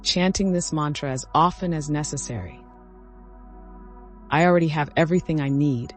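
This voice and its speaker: A man narrating a scripted guided meditation as the guide, giving instructions in measured phrases with short cues. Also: fairly high pitch. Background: background music.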